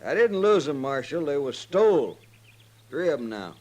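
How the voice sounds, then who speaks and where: An actor playing a folksy small-time rancher with rustic, emphatic speech in a 1950s radio western. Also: male voice, a little high, very animated, moderate pace, a little mellow. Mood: aggrieved.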